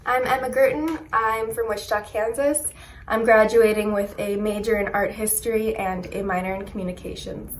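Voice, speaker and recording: A young woman, a graduating student, introducing herself in a gentle, appreciative way. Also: mid-range voice, steady tone, normal speed, a little hiss or hum, rough recording. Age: young adult. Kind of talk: recorded self-introduction.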